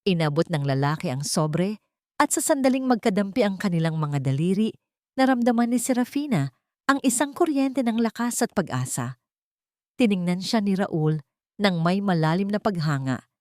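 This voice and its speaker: A woman reading a scripted dramatic story in a deliberate, melodramatic storytelling manner. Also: medium pitch, expressive, a little mellow, dry recording, clean studio sound. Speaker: storyteller, narrator.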